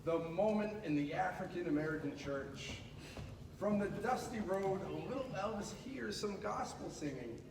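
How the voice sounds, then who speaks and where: Male storybook reader, high voice, expressive delivery with chatty asides, distant and echoey school-room recording. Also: moderate pace, vocal fry. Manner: expressive, with casual asides to listeners. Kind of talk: animated children's picture book read-aloud. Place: indoors at a school. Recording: echoey room, distant mic, noisy background.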